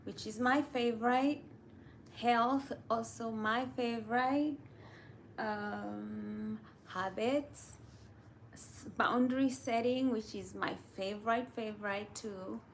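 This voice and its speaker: A woman's voice: fairly high pitch, fairly even intonation, takes it slowly, slight background noise. A woman hosting a casual livestream, chatting and reading viewer comments aloud. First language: Kamba.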